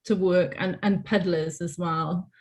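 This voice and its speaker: A woman's voice: on the low side, fairly even intonation, unhurried, darkish tone. A woman from Wales with a mixed transatlantic accent, speaking as a panelist over a video call, chatty and informal with lots of "like" and "you know". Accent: transatlantic Welsh. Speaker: panelist and writer. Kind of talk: panel discussion, casual.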